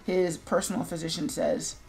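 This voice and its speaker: A woman's voice: lowish voice, little pitch movement, moderate pace, gravelly, dark tone, soft background music. An American woman streaming casual, opinionated chat in a sarcastic, blunt manner. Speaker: streamer, show host.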